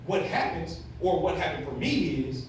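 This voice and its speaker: A man's voice: on the high side, normal speed. A man in his late thirties giving a blunt, candid talk to young people, stressing points with rhetorical questions. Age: late 30s. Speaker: motivational speaker to youth. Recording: very echoey room, far from mic, faint noise.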